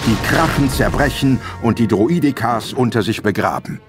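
A man with a medium-pitched voice narrates an adventure audio play. His delivery is scripted and dramatic, and music runs clearly behind the words. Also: normal speed.

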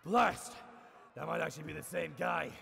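An actor playing a worn-out, bitter, foul-mouthed older superhero in a gruff, jaded way for an animated parody dub. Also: male voice, a little high, moderate pace, heavily acted. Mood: self-doubting. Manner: jaded, gruff, theatrical outbursts. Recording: music behind the voice.

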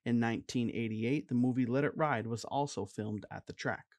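Male voice-over narrator, mid-pitched and even, reading a history script in a dead-dry booth. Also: normal speed, dry recording. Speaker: documentary narrator.

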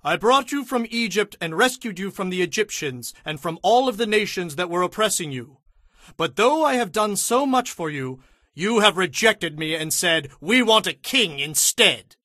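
An actor playing a solemn, commanding old prophet in an audio Bible drama, declaiming deliberately. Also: male voice, sits high, lively intonation, pushed and bright, no room sound. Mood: proclaiming.

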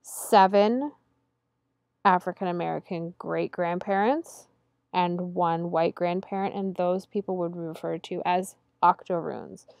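Female lecturer for a recorded slide lesson, steady and explanatory, dry voice-over sound. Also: on the low side, creaky, no room sound. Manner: measured and explanatory, slowing to stress key terms. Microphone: close voice-over mic over slides.

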